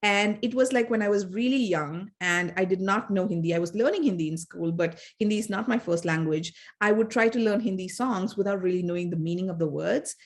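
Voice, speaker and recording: A woman's voice: medium pitch, brisk, few pauses. A woman from India, co-hosting a casual academic discussion, thoughtful and hesitant with many fillers. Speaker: podcast co-host, fan scholar.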